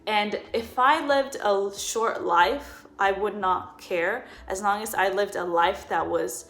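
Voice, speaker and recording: A woman's voice: medium pitch, slow pace, a bit bright. A woman in her twenties talking earnestly in a personal vlog. She often repeats words for emphasis. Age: 20s. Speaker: vlogger.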